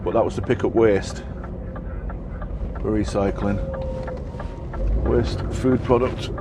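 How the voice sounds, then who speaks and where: A tired Yorkshire man talks in a rambling, easygoing way while he drives, full of ums and restarts. His voice sits fairly low. Constant engine and road rumble fills the truck cab around him. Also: steady tone, slow pace. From Yorkshire, England. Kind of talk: casual chat while driving.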